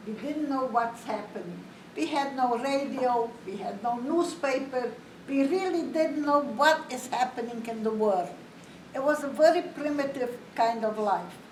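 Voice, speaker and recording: An older woman from Romania, giving a slow, plain, matter-of-fact spoken testimony to an audience. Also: high-pitched. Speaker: Holocaust survivor speaker. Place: community hall. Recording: roomy, distant, faint hiss.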